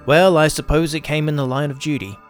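A man's voice: a little high, fairly even intonation, a little fast, faint music behind. A man hosting and narrating scripted science fiction audio stories in the first person. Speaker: host and narrator.

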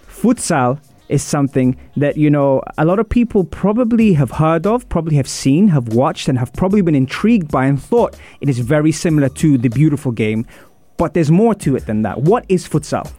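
Mellow-voiced radio host, warmly affirming. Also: male voice, fairly high pitch, animated, no echo, soft background music. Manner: affirming, many quick agreements. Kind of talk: casual radio interview. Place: radio studio.

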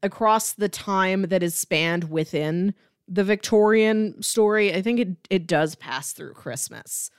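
A woman's voice: medium pitch, a little slow, clean tone, no room sound. A woman talks as a podcast co-host in a dry recording with little room sound. Her delivery is casual and unscripted, and she slips into acting out the remarks she quotes. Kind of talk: casual unscripted chat about a TV show.

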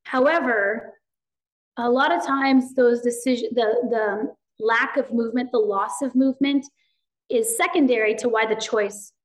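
A woman speaks her mind in a slightly high voice. She talks fast and animatedly, and her casual talk is full of fillers as she explains her ideas. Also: low-quality recording. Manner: rapid, animated, lots of fillers; self-described loud voice, outspoken. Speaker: guest. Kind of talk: casual conversation.

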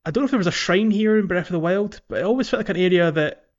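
A man's voice: high-pitched, rapid-fire, lo-fi sound. A man co-hosting a podcast in a casual, conversational way, hesitant and thinking aloud as he talks about a video game. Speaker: podcast co-host.

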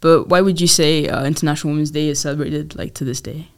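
A woman's voice: low voice, fairly even intonation, fast talker, creaky, no room sound. A young woman hosting a podcast, interviewing casually in an informal, loosely worded way. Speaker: podcast host.

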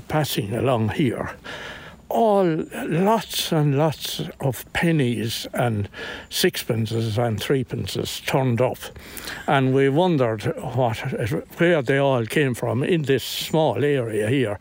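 An older man from County Kilkenny with an Irish accent, telling local memories in a halting, unscripted way outdoors. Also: mid-range voice, very animated, a little mellow. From Inistioge, County Kilkenny, Ireland. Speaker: local interviewee telling folklore. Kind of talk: unscripted reminiscing. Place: outdoors in estate grounds. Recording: outdoor location recording, clear background noise.

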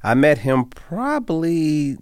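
A middle-aged man from Las Vegas, a guest on a radio show, talking in an easygoing way with frequent pauses and ums. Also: a little high, a little mellow, clean tone, no echo, studio quality. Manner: easygoing, hesitant, many ums. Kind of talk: casual radio interview.

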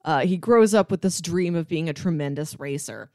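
A woman with a fairly low voice speaks in casual conversation, explaining things as a podcast co-host. The sound is dry and close, with very little room in it. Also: normal speed, mellow, no room sound. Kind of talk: casual film discussion and plot summary.

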